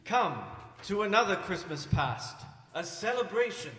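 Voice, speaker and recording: An actor playing a commanding, probing spirit in a deliberate radio-play style, in an echoey hall. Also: male voice, sits high, animated. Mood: solemn. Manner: deliberate, commanding, pointed questioning. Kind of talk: live radio-play stage acting. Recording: echoey hall, music behind.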